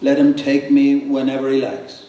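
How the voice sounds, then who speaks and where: A man's voice: mid-range voice, monotone, normal speed, dark tone, dull audio, close to an everyday voice. An Irish man voicing a grieving, weary widower in a live reading of a novel. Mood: mournful. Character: grieving, weary widower at his wife's grave. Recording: distant mic in echoey room, faint noise.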